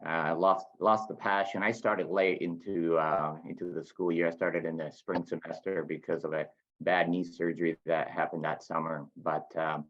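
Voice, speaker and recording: A man from Spain, a former racer, speaking as an interview guest in a halting way, searching for words. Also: low-pitched, level tone, lo-fi sound. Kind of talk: casual interview.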